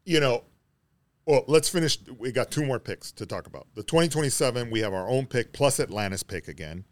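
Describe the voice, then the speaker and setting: A man's voice: mid-range voice, animated, brisk, no echo. A man co-hosting a sports podcast, talking through basketball in a casual, conversational way.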